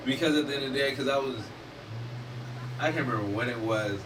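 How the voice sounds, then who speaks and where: A man, talking in a casual discussion, animated and emphatic, swearing freely. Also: mid-range voice, fast talker. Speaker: podcast host. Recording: distant mic with noticeable background noise.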